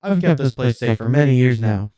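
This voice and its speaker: A courteous old robot butler, acted out by a man for a story reading. The voice is mid-pitched and soft-edged, very clean and smooth, in a dry close recording. It speaks with formal, apologetic politeness, like a lonely museum guide eager to serve. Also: lively intonation, brisk, no room sound, natural voice. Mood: proud, polite.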